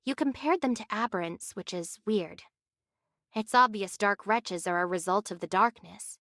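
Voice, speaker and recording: An actress playing an earnest, protective young heroine in an anime game, with a direct, questioning manner. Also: female voice, medium pitch, moderate pace, fairly bright tone, dry recording, clean studio sound, lightly acted. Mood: questioning, reasoning.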